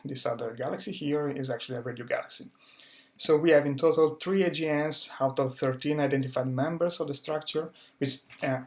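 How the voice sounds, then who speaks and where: A young man presents a recorded research talk, careful and methodical, often restarting and repeating words as he walks through his slides. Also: mid-range voice. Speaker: conference speaker, astrophysics researcher.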